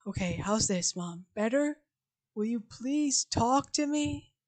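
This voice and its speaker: A woman's voice: a little high, expressive, slow, darkish tone. Troubled grown son, read by a woman: strained, insistent, pleading. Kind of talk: casual reading of a screenplay aloud.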